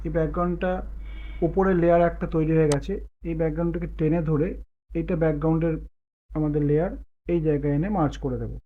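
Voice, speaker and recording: A man giving casual step-by-step software instruction, thinking aloud as he works. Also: on the high side, fairly even intonation, fast talker, long pauses. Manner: explains while working, thinking aloud. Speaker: tutorial host. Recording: muffled phone-like narow-band sound.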